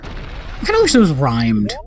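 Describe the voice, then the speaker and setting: A man's voice: mid-range voice, huge pitch swings, a little slow, faint music behind. A man giving casual, rambling, sweary let's play commentary on a video game.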